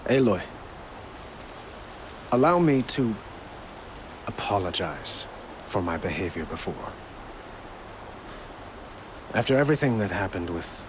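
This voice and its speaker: A gentle, dignified king in a fantasy game. His voice is fairly low, his speech formal and sincere, with clear ambient noise behind it. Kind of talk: video game cutscene acting.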